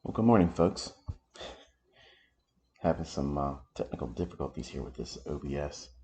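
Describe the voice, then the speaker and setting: A man with a raspy voice from allergies, giving unhurried live commentary as he explains market charts. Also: very deep voice, expressive, warm tone. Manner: unhurried, thinking aloud while explaining charts. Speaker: trading educator. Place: home office.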